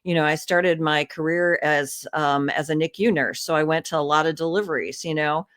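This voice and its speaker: A woman speaking as a podcast guest, answering in a calm, earnest, reflective way. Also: sits low, moderate pace.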